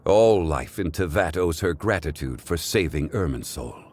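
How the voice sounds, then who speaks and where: An actor voicing a calm, ancient, scholarly male god in a video game, speaking in a measured, formal and eloquent way. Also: low voice. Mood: solemn, admiring. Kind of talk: scripted video game character voice lines.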